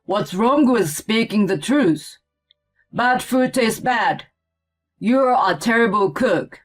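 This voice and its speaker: A woman's voice: on the high side, animated, slow, soft background music. Woman playing a whiny, grumpy picky man. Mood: angry complaining. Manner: indignant, complaining. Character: grumpy, whiny grown man, a picky meat-loving eater. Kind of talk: acted story dialogue.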